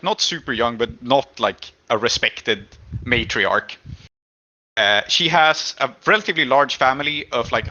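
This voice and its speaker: A man's voice: medium pitch, pushed and bright, lo-fi sound. A man running a tabletop game as game master, improvising the story and thinking aloud as he goes.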